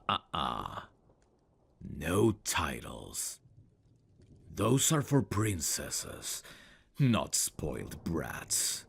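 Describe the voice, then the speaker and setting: A man plays a stern royal prince in an intimate fantasy audio drama. His voice is extremely low and gravelly, with slow, formal phrasing that swings expressively. He sounds condescending and used to command. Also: fairly bright tone, a little hiss or hum, heavily acted. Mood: scornful, cold.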